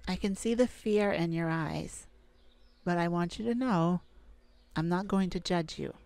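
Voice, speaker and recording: A woman playing a caring, affectionate mage gardener in a gentle ASMR roleplay audio drama. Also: fairly low pitch, a little slow, gravelly, dry recording. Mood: tender, reassuring. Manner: affectionate, gentle, full of endearments. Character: tender, caring mage gardener devoted to their werewolf mate.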